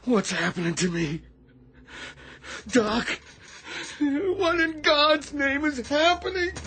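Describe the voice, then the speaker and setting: Frightened, halting navy chief. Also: female voice, high voice, animated, fairly bright tone, slight background noise, heavily acted. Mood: frightened, haunted. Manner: halting, tremulous, pleading. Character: frightened, haunted navy chief seeing dead men. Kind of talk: radio drama acting.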